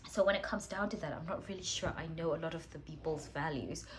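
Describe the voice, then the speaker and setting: Stuffy-nosed woman teacher, chatty and self-mocking, lower voice, faint background hiss. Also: fast. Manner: chatty, self-deprecating, clears throat and coughs. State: congested with a cold. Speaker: English teacher hosting a writing challenge. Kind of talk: casual to-camera talk.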